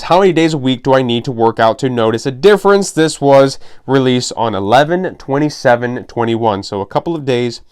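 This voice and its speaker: A young adult man hosting his own fitness podcast, reading an article aloud with dry, sarcastic asides, in what he calls a monotone voice. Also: medium pitch, clear, resonant tone. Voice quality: self-described monotone voice. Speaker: podcast host.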